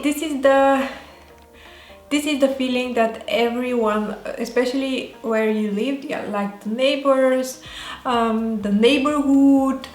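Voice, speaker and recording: A woman with a Bulgarian accent talking casually as a vlogger, in a halting way that often pauses mid-sentence. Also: a little high. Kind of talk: casual talk to camera. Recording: roomy echo, faint music behind.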